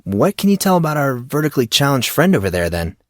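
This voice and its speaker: A man's voice: medium pitch, very animated, quick pace, no room sound, studio quality, voice pushed up, close to an everyday voice. A man voicing a puzzled, guarded teenage boy who keeps asking questions and steers away from topics. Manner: questioning, evasive.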